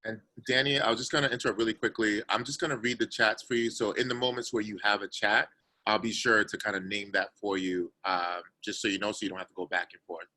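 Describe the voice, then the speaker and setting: Male meeting co-host, lowish pitch, rapid casual delivery, video call. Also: steady tone, pushed and bright. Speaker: session co-host.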